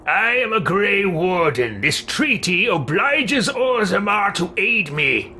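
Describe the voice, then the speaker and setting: A man's voice: high voice, sing-song, takes it slowly, sharp tone, husky, pitched above the performer's own voice, heavily acted. A man voicing a self-assured adventurer in roleplayed video game dialogue, formal and direct. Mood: assertive.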